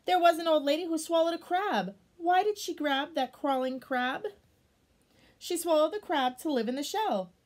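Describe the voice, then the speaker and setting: A woman reads a picture book aloud for young children in a highish, slightly husky voice. Her delivery swings widely in pitch, expressive and exclaiming, and she breaks off for simple chatty explanations. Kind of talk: read-aloud storytime with chatty asides.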